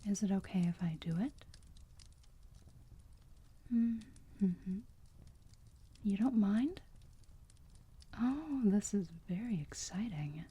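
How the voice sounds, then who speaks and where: Slow whispering motherly vampire played by a woman. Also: mid-range voice, lively intonation, full character voice. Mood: tender, curious. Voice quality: breathy whisper. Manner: soft, slow, cooing, soothing. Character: doting motherly female vampire, gentle and protective. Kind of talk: whispered ASMR roleplay.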